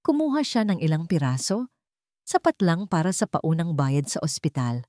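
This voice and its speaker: A Filipino woman reading a scripted romance story as narrator, with dramatic, deliberate phrasing. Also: low-pitched, very animated, warm tone, no room sound, studio quality.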